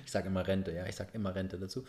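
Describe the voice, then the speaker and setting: A middle-aged man hosting a casual family podcast, asking relaxed, chatty questions. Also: low-pitched, quick pace, background noise. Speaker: podcast host.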